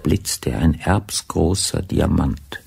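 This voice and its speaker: Sober detective story narrator on radio, super low n gravelly, matter-of-fact. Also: male voice, slow, over music, full character voice. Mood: measured. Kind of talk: scripted radio crime drama narration.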